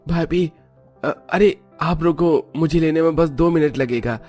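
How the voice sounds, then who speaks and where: Man doing high-voiced boyish cartoon younger brother. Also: unhurried, a little mellow, faint music behind. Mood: helpful. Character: eager chicken-loving young brother-in-law, boyish.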